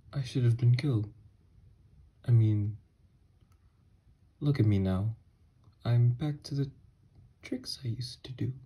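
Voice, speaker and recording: A man acts out an obsessed scientist who escaped a mental ward, in an ASMR horror roleplay. His voice is very breathy and mellow, and he confesses slowly and haltingly, full of remorse. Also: medium pitch, full character voice. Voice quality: husky, breathy.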